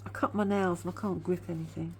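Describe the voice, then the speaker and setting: A woman with a somewhat low voice talks through her craft work at home in a loose, rambling way. A sore tooth gives her voice a slightly whiny edge, and a faint hum sits underneath. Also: fairly even intonation, quick pace. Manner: chatty, rambling, admits to waffling. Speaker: craft vlogger. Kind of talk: casual craft show-and-tell chat.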